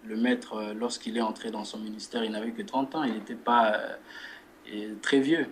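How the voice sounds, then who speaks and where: A man, a guest who speaks in a measured way and leads a spoken prayer. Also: lowish voice, steady tone, a little hiss or hum. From Democratic Republic of the Congo. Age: 20s. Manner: measured, repeats words while searching for phrasing. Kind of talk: religious interview and spoken prayer.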